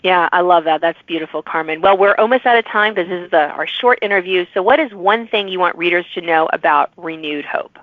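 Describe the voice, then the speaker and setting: A woman's voice: on the low side, a little fast, few pauses, no echo. A woman interviewer speaks in a conversational, encouraging manner as a podcast host. Her audio is close and dry, with a slightly dull, lo-fi edge.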